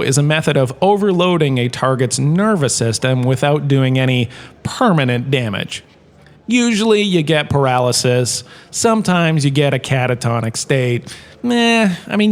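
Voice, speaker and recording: A man's voice: mid-range voice, lightly acted. A man playing a washed-up actor turned lecturer in a comedic audio drama, chatty and wry, tossing asides to his class. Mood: wry lecturing, then flippant.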